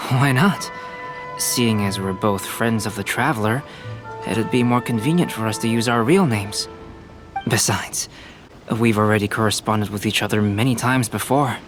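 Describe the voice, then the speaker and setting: A man voicing a calm, analytical young scholar in a video game, articulate and courteous, reasoning in a measured way. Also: on the low side, music in the background, lightly acted. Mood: friendly, courteous.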